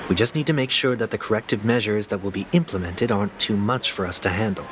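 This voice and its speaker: A man's voice: on the low side, quick pace, fairly bright tone, slight background noise, lightly acted. An actor playing an earnest, composed young man in a fantasy video game, speaking formally and politely. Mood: calm, explanatory. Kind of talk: scripted video game voice acting.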